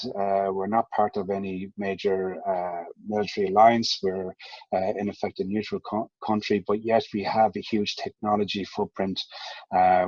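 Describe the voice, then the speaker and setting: A man's voice: low voice, slightly rough, dry recording. A middle-aged man with an Irish accent, moderating an online panel and chatting loosely with many filler sounds. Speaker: webinar moderator. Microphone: video call mic. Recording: dull lo-fi call audio.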